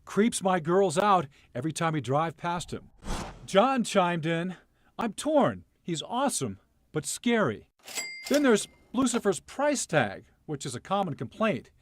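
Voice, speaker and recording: Male feature reporter, highish and very animated, playful dramatic storytelling over faint noise. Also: unhurried. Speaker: TV news reporter. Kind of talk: scripted feature news narration.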